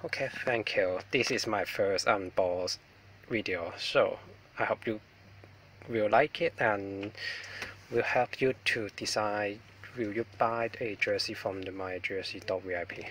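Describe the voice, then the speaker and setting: A man's voice: lowish voice, a little slow, fairly bright tone. A man with a Hong Kong accent, unboxing a product in a casual vlog, hesitant and apologetic with many ums, recorded on a mobile phone. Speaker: vlogger. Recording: distant phone mic with faint noise.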